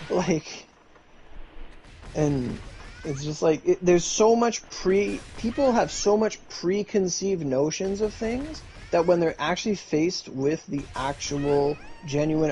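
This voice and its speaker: A man's voice: a little high, mellow, a little hiss or hum. A man streaming, chatting casually in a rambling way full of fillers and restarts.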